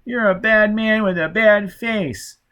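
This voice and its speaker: High, whiny frightened little boy. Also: slow, sharp tone, full character voice. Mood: tearful, frightened. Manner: whiny, stammering childish speech. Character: frightened wailing ancient boy telepath, childish and tearful.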